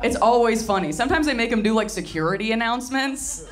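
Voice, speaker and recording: A young woman from Tennessee performing a stand-up comedy set into a handheld mic, wry and deadpan, slipping into mocking voices for the people she quotes. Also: fairly high pitch, fast talker, noisy background. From Southern US, Tennessee. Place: small candy-shop comedy venue with live audience. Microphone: handheld microphone.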